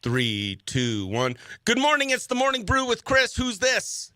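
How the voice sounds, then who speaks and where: A man's voice: very high-pitched, wildly swooping pitch, measured pace, bright, forceful tone, no echo, clean studio sound. A man hosting a radio morning show, chatting casually and upbeat with informal slang as he runs a contest. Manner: informal slangy, exclaims dude and oh heck. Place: small radio studio, close dry mic.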